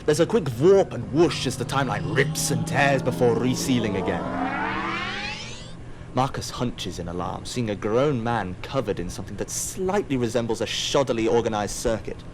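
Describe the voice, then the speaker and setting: A man's voice: medium pitch, expressive, normal speed. Wry male narrator over faint noise. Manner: wry, theatrical. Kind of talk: scripted audio drama narration.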